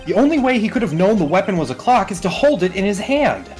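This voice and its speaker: A woman's voice: medium pitch, sing-song, fast, darkish tone, soft background music, lightly acted. A woman voicing a determined young male rookie defense lawyer in a video game, speaking assertively and declaiming. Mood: accusing.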